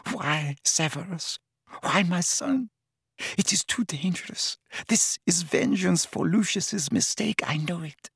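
A man playing a desperate tearful mother, whispery, pleading, higher pitch, husky. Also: very animated, a little slow, fairly bright tone, no room sound, clean studio sound, full character voice. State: crying.

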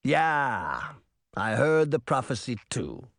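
A man's voice: mid-range voice, very animated, takes it slowly, creaky, a bit bright, dry recording, clean studio sound, exaggerated voice. Man voicing a sly, taunting villain mirror spirit. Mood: mocking. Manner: taunting, mock-friendly, theatrical. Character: sly, mocking villain mirror spirit possessing an old woman's body. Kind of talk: audiobook character voice.

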